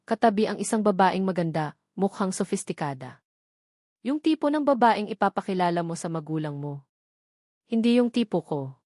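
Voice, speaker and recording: A woman with a Filipino accent reading a first-person dramatic love story in an emotive way, with short deliberate phrases. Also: mid-range voice, a little mellow, no room sound, studio quality. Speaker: story narrator.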